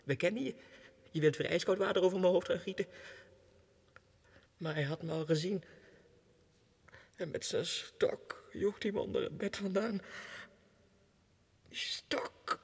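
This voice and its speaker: An actor playing a tormented, desperate, childlike madman in a theatrical 1960s radio monologue that swings between hushed whispers and anguished cries. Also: sits high, a little slow, gravelly, long pauses, husky, heavily acted. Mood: secretive, wounded. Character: tormented madman clerk, imagines himself king, desperate and childlike. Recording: reverberant hall, 1960s radio production.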